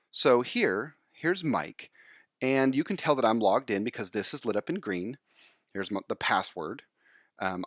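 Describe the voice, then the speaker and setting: A man explaining software step by step in an informal screencast tutorial voice-over. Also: lowish voice, dry recording, clean studio sound.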